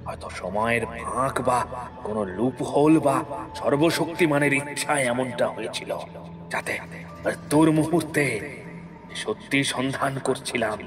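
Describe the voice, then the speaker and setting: A man playing a stern, sorrowful, quietly menacing ghost in an audio horror story, speaking in a measured, deliberate way. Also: mid-range voice, over music, lightly acted. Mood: quiet wonder.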